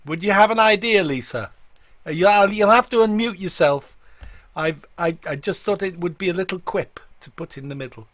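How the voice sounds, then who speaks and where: A man's voice: sits high, normal speed, fairly bright tone, dry recording. A man in casual banter on a video call, with a jokey, teasing way of talking. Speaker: folk club member.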